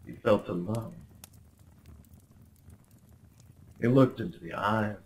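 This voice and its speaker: A man's voice: lowish voice, moderate pace, a little hiss or hum, close to an everyday voice. A man playing a smug, possessive crown prince in a roleplay audio drama, speaking with formal, condescending politeness and theatrical flair. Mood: wistful storytelling. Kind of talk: roleplay ASMR acting.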